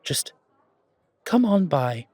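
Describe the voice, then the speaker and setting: Man voicing wry male fantasy coffee shop owner, theatrical, formal, slow delivery, smooth, audio roleplay. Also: fairly high pitch, big pitch swings, a little mellow, no echo, heavily acted. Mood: warm, confiding. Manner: theatrical, deliberate, formal phrasing.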